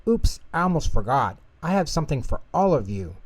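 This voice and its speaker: Male reader as small childlike mouse for a bedtime story: eager, anxious, lively swoops in pitch. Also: a little fast, husky, no room sound. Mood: cheerful.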